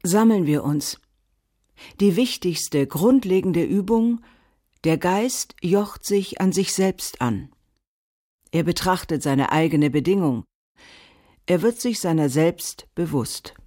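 An actress playing a solemn Veda teacher who recites ritual instructions in a measured, incantatory way for a radio drama. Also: female voice, on the low side, expressive, mellow. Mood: solemn, meditative.

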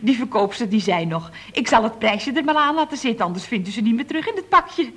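Breezy, husky young secretary, swooping intonation. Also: female voice, fairly high pitch, big pitch swings, fast. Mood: gushing, breezy. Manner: gushing, chatty. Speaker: actress in a radio comedy serial. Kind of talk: Dutch radio comedy serial acting, around 1970.

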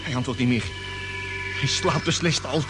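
An actor playing an anxious, blunt spaceship radio operator in a Dutch radio drama, with a colloquial, excitable way of talking. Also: male voice, mid-range voice, normal speed, loud music behind the voice, lightly acted. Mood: worried, exasperated.

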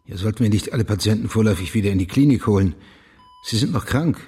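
An actor playing a weary, discreet police official who investigates and narrates in a German radio crime drama. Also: male voice, lowish voice, lively intonation, quick pace, warm tone, slightly rough. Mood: probing, uneasy.

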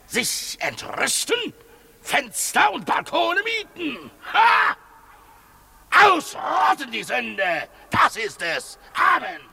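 A woman playing a fanatical zealot who declaims and shouts slowly in a 1950s German radio drama. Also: high voice, very animated, vocal fry, a little hiss or hum, exaggerated voice. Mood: fanatical outrage. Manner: slow, declamatory, shouting exclamations. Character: fanatical zealot railing against a sinful city. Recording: 1950s radio, voices of a crowd behind.

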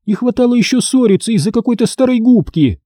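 Man voicing a brisk, high-pitched busy mother. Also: big pitch swings, mellow, no echo, studio quality. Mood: scolding. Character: busy, impatient mother of two children.

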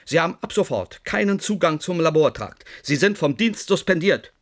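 An actor playing a stern, sceptical doctor in charge, imperious and probing, in a German radio drama. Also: male voice, a little high, animated, moderate pace. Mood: stern, alarmed.

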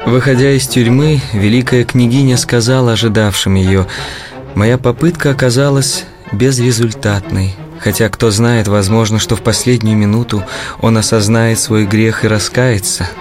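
A man's voice: mid-range voice, fairly even intonation, moderate pace. Solemn male narrator over music. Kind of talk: solemn scripted narration of an audio play. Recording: clear music bed under narration.

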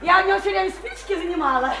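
An actress playing a brash, boozy, chattering widowed house painter, flirty and slangy, in a radio play. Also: female voice, very high-pitched, very fast, creaky, sharp tone, reverberant, faint music behind, full character voice. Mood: chatty, excited. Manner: flirty, teasing, folksy and slangy. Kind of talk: theatrical radio play acting.

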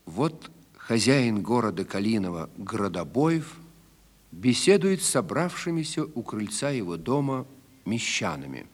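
A man narrates from a script in a solemn documentary manner. His voice is lowish with lively, wide intonation, carried by a long hall reverb. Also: a little slow, slightly rough.

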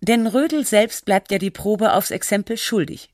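A woman narrating a radio feature in a measured, factual and articulate scripted style. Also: medium pitch, lively intonation, a little mellow, no echo, clean studio sound, natural voice.

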